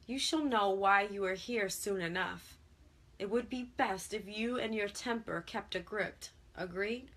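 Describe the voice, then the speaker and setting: Woman as a calm, sing-songy commanding man. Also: mid-range voice, creaky. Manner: sing-songy, formal, measured. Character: calm, commanding, matter-of-fact man in authority.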